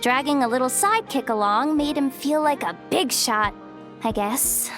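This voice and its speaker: A young actress playing a guarded, pragmatic young woman with a soft heart and a wry, casual way of talking in a video game. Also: sits high, normal speed. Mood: dismissive. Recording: studio voice over game music. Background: background music.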